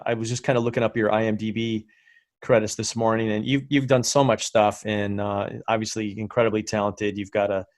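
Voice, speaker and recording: Low-voiced podcast host, dry sound. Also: male voice, dry recording. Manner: friendly, filler-heavy, halting. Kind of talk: casual interview hosting.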